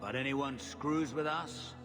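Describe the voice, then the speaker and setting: Guy doing tough merc boss, gruff n unhurried, real slow pace, game dialogue w loud music under. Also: mid-range voice, natural voice. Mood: sizing up. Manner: gruff, unhurried, matter-of-fact. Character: blunt, tough, self-assured mercenary captain, male. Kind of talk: video game dialogue acting.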